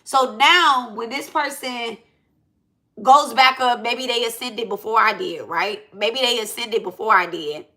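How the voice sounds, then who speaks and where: Bright-voiced blunt woman in her thirties. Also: a little high, unhurried. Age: 30s. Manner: animated and blunt, with slangy asides and repeated "right?" and "okay?". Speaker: spiritual reader and channel host. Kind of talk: unscripted spiritual talk to a live audience.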